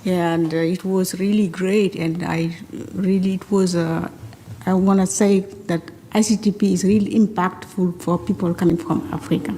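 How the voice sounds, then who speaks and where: A woman from Senegal, a mathematician on a conference panel, speaking in a slow, measured way with many pauses. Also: lowish voice, fairly even intonation, mellow, a little hiss or hum. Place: large conference hall. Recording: reverberant hall with faint ambience.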